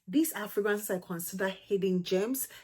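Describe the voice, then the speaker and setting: A woman's voice: medium pitch, moderate pace, a little mellow, slightly rough. A woman with a Ghanaian accent hosting her own fragrance channel, chatty and gushing, peppered with "you know" and "um". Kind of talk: casual fragrance review to camera.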